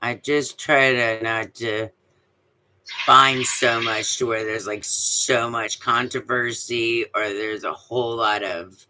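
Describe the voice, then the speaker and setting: A woman with a deep voice chatting casually on a live stream, rambling with many fillers and restarts. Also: fairly even intonation, takes it slowly, sharp tone, a little hiss or hum, rough recording. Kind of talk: casual chat.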